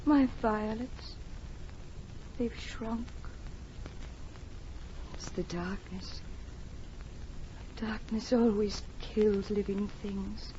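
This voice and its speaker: A woman acting a frail, childlike madwoman in 1940s radio drama, gentle, vague and wandering in her delivery. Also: medium pitch, fairly even intonation. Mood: dreamy, distant. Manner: slow, vague, wandering, disconnected. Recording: dull, noisy vintage radio recording.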